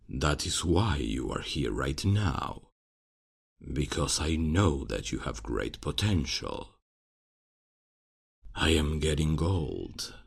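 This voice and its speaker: A man acting a kindly, fatherly old archmage in a fantasy ASMR roleplay, speaking in a gentle, solemn, measured way with a gravelly, husky voice. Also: a bass voice, heavily acted. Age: older.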